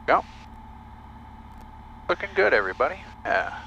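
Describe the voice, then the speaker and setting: Male pilot, animated and bright, clipped casual callouts from a cockpit headset with light engine noise. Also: mid-range voice, unhurried, vocal fry, highs cut off. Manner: clipped, self-coaching short phrases. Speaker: private pilot. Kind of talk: casual cockpit commentary and radio calls. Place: small aircraft cockpit in flight. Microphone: aviation headset. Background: aircraft engine drone.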